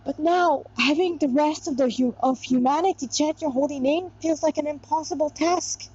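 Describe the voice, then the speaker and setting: A woman's voice: high-pitched, moderate pace, a little mellow, voice pushed up, close to an everyday voice. A woman voicing a weary, devout female warrior in a story, musing in exasperation over her mission. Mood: weary, pleading. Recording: dull, lo-fi sound.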